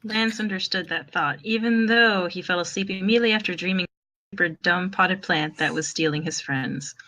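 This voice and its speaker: A woman's voice: fairly low pitch, a little fast, pushed and bright, low-quality recording. A woman reading fan fiction aloud as an amateur narrator, in a wry, informal storytelling manner.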